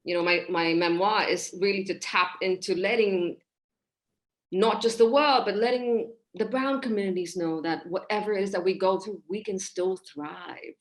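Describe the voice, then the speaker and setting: A woman in her thirties from Hong Kong, a relationship coach talking in a casual podcast interview over a video call, expressive and gesturing as she speaks. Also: medium pitch, normal speed. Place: small room indoors.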